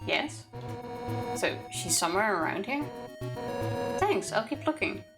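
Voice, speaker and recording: A woman's voice: mid-range voice, sing-song, a little slow, over loud music, voice pushed down. A woman voicing a brash, bossy female royal guard in a video game, blunt and demanding. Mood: brisk, demanding.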